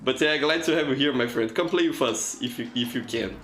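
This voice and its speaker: A man's voice: mid-range voice, fairly quick, fairly bright tone, slight background noise. A man from Brazil with a Brazilian accent, whose first language is Portuguese, chatting casually with viewers on a live gaming stream. He talks in a chatty, encouraging way and often repeats words as he goes. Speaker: live streamer playing a shooter game. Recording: roomy echo with game music behind.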